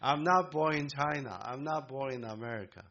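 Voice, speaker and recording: A man born in Korea, preaching a sermon to a congregation in an emphatic way, with halting restarts. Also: medium pitch, fairly bright tone, dull audio. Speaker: pastor.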